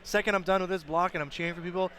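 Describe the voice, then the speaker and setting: A man commentating an esports tournament in casual, upbeat chat, his voice slightly hoarse from cheering. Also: high-pitched, steady tone, bright, forceful tone, a little hiss or hum. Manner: chatty and upbeat, quick rambling asides.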